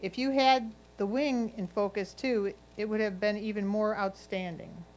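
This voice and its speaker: A woman's voice: mid-range voice, steady tone, normal speed, low-quality recording. A woman reading a judge's written critique aloud. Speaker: reader of judges' comments.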